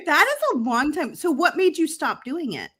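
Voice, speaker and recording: A woman's voice: very animated, fairly bright tone. A woman co-hosting a craft livestream from home, teasing her co-host with incredulous questions before running through a store deal. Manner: teasing, incredulous questions, then brisk sales pitch. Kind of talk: casual chat and shop promotion.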